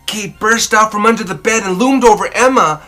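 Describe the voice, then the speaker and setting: A woman reading a children's story aloud in an expressive, dramatic and deliberate narrating style. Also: medium pitch, over loud music. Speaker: storybook reader.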